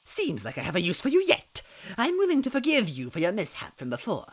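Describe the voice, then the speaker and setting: A man's voice: high-pitched, huge pitch swings, fairly quick, pitched above the performer's own voice, exaggerated voice. A man voicing a cruel scheming old female bean witch in a storybook reading, sneering and swinging from hisses to screams. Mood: menacing. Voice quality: raspy, cackling. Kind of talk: storybook reading with character voices.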